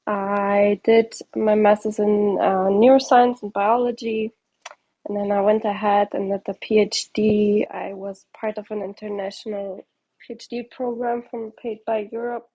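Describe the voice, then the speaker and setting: A woman's voice: medium pitch, little pitch movement, unhurried, lo-fi sound. A woman from Portugal in her thirties, a startup founder answering interview questions in a rambling way with many ums and restarts.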